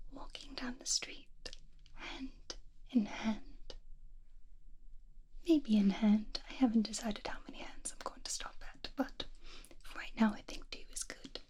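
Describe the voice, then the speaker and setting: A woman playing a doting, obsessive mad scientist who fusses over her creation in a cooing, breathy whisper, as an ASMR roleplay. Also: a little high, steady tone, a little mellow. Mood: dreamy, doting. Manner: cooing, unhurried, fussy endearments.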